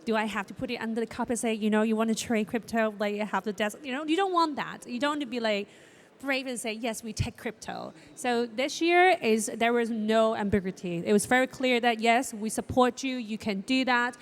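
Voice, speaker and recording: A woman speaking as a panelist at a conference, talking in a halting way and repeating words as she searches for them. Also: a little high. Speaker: panelist, art fair organizer. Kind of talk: panel discussion. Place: conference stage. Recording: echoey conference hall.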